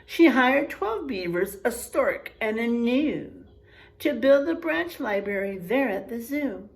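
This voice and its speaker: A woman teacher reading aloud and chatting to young children in an expressive, encouraging, teacherly way. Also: on the high side, slow, vocal fry, poor audio. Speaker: first grade teacher. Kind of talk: picture book read-aloud and chat to young pupils.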